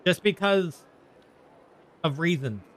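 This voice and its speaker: A man talking casually to viewers as a game streamer, in a halting, conversational way, often repeating himself. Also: a little high, expressive, fairly bright tone. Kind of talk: casual live stream chat while gaming. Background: faint game music.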